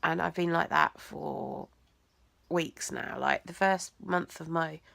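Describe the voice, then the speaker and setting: Young woman, low, gravelly, nasal, congested, dry close sound, hesitant with lots of ums. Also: steady tone, slow, fairly bright tone, no echo. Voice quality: nasal and croaky. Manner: rambling, hesitant, many ums and likes. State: congested, teary. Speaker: vlogger. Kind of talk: personal vlog monologue.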